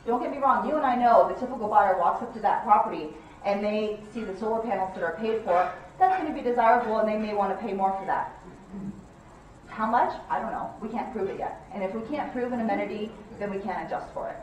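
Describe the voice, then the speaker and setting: A woman's voice: medium pitch, fast, a little hiss or hum. A middle-aged woman answering questions in an explanatory, filler-heavy way as she presents to realtors. Her voice reaches the camera from across the room, dull and muffled in tone and washed in strong echo. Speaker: real estate appraiser speaker. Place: hotel conference room, indoors. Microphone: handheld mic, far camera. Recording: dull echoey room recording from far off.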